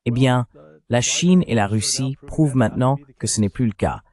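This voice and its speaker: A man reading translated political commentary in a measured, explanatory way, as a voice-over. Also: medium pitch, darkish tone. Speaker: panel commentator.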